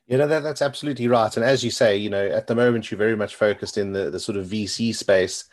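A man's voice: lowish voice, steady tone, quick pace. A middle-aged man hosting a podcast, asking long rambling questions full of "you know". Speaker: podcast host. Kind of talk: interview questions.

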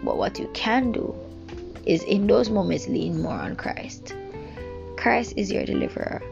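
Gravelly Jamaican woman giving a devotional. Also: medium pitch, wildly swooping pitch, measured pace. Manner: earnest, rambling, with frequent fillers. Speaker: devotional speaker. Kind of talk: informal devotional talk. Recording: dull lo-fi recording with music behind.

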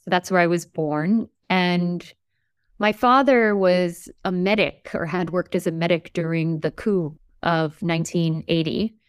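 Woman prof, forties, low-ish smooth voice, careful and emphatic, dry close mic. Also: fairly even intonation, no room sound. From United States. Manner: articulate, measured, emphatic. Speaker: English professor. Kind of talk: reflective interview talk.

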